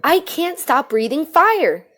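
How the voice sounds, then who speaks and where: A woman's voice: high-pitched, big pitch swings, slow pace, a bit bright, voice pushed up, heavily acted. A woman voices a grown father dragon from a picture book. He is proud and hot-tempered, and he insists on things with heavy emphasis. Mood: panicked.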